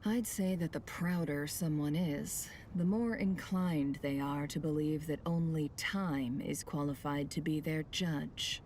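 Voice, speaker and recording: An actress voicing a composed, shrewd young woman in a video game, measured and self-assured. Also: low voice, darkish tone, a little hiss or hum. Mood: confident. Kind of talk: scripted video game voice acting.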